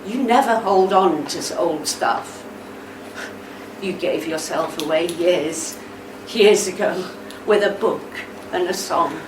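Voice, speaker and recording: An actress playing a gentle but insistent wife, tender and sorrowful, in a radio play. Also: female voice, fairly low pitch. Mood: tender, pained. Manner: gentle, coaxing, deliberate. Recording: echoey room, distant mic, background noise.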